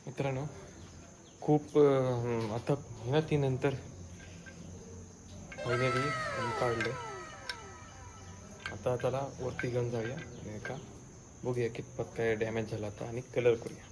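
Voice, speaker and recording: A man with a Malvani accent talks informally, explaining his work step by step like a motorcycle vlogger. His mid-pitched voice stays quite flat. The handheld phone recording has clear background noise. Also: slow pace, long pauses. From Konkan, western India. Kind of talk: casual hands-on restoration vlog.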